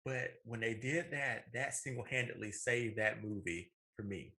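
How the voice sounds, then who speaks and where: Bright-voiced man chatting about films. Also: mid-range voice, moderate pace. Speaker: podcast co-host. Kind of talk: casual opinionated chat about films.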